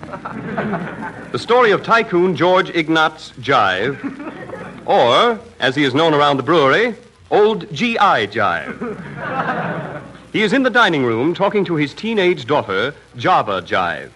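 A man's voice: a little high, sing-song, slow, long pauses, pushed and bright. A man announcing a 1940s radio comedy sketch with mock-grand, playful introductions. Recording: noisy 1940s radio recording.

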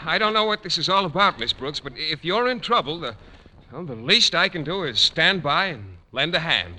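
A man's voice: fairly high pitch, big pitch swings, normal speed. An actor playing a shy, earnest, naive male teacher in a 1940s radio comedy, polite and stammering. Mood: flustered, earnest. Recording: noisy old radio recording with clicks.

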